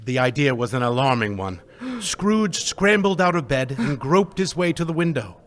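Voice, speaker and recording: A man's voice: a little high, very animated, normal speed. A man reading scripted narration as the storyteller of a live radio play. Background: music.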